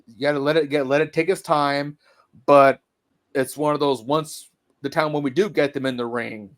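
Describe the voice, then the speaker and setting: A man in casual podcast chat, measured and reflective, often stammering into his points. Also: a little high, fairly even intonation. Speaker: podcast co-host.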